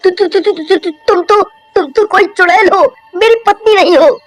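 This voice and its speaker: A woman's voice: very high voice, normal speed, no echo, faint music behind, voice pushed up. A woman playing a callous young husband, demanding and cowardly, with a dramatic cartoon delivery. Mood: terrified stammering. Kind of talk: Hindi horror cartoon voice acting.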